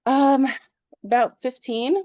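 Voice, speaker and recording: A woman from New York, born in Peru, telling her personal story as an interview guest in a hesitant, halting way. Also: high-pitched, steady tone, dark tone, no room sound, studio quality. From New York, USA, born in Peru. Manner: hesitant, halting, thinking as she speaks. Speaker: podcast guest.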